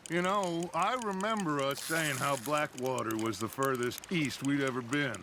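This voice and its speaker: An actor playing a grandiose, upbeat middle-aged American outlaw leader in a western game, speaking in a theatrical, expansive way. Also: male voice, medium pitch, moderate pace, natural voice. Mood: reflective, reassuring. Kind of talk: cinematic western game acting. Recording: loud watery boat noise behind. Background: water and boat sounds.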